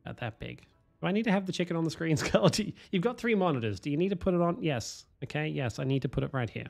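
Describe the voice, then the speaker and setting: A man, streaming a game from home on a headset, hoarse from a cold, swearing and joking with chat. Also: on the high side, expressive, fast, darkish tone, faint music behind. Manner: swearing, self-mocking, chatting to viewers. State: hoarse, losing his voice from a cold. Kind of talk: casual live-stream chatter while playing.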